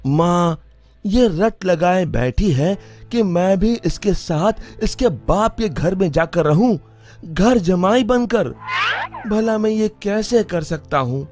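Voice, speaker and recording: A man's voice: high-pitched, lively intonation, takes it slowly, darkish tone, soft background music, voice pushed up. A man voicing an exasperated young married son in a cartoon, who speaks in a complaining, insistent way. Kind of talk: cartoon voice acting.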